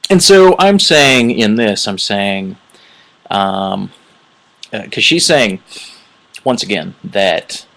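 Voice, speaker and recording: Low, gravelly man for a rambling commentary read, slow and halting, wordy. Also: animated, fairly bright tone. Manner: halting, many fillers and restarts, frequent throat clearing; wordy, academic phrasing. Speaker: video blogger responding to another video.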